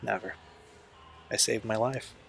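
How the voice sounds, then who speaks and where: An actor playing a troubled young man in an audio drama, speaking in an earnest, halting, confessional way. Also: lowish voice, steady tone, creaky, faint music behind. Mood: quiet, resolute. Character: Steve, troubled young man recalling a painful family past.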